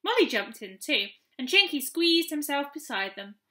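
A young woman reading a children's story aloud in an expressive storytelling style. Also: high voice, normal speed. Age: young adult. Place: small room at home, indoors.

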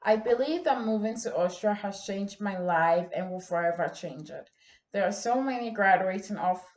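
A teenage girl from Ghana, whose first language is Ga, gives an earnest and measured school speech in a plain indoor room, recorded by a camera set some distance away. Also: medium pitch. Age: teens. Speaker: student speech contestant. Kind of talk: memorized bilingual speech. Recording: echoey room.

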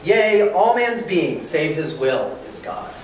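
A man's voice: high voice, expressive, slow. A man voicing a bold, visionary chapel priest, declaiming archaic verse in a staged dramatic reading. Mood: earnest, bold. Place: hotel conference room, indoors. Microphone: camera across the room. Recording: very echoey room, distant mic, faint noise.

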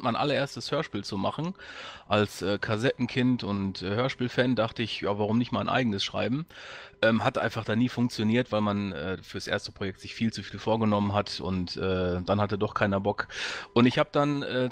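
A man from the Ruhr area of Germany chatting casually as a podcast guest. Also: medium pitch. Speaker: podcast guest, audio drama maker. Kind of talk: casual chat.

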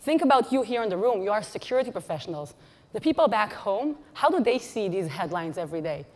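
A woman with an Israeli accent gives a conference talk in a big hall, her voice trailing into a long reverb. She teases and jokes with the crowd. Her pitch sits in a middle range. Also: big pitch swings, fast talker, darkish tone. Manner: playful, teasing the audience with flight-attendant jokes. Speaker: conference speaker. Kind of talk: lively keynote presentation. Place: large conference hall stage.

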